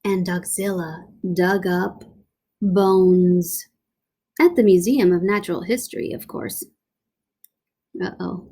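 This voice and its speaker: A woman reading a children's picture book aloud like a teacher, dramatic and deliberate, with playful asides. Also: fairly low pitch, fairly even intonation, warm tone.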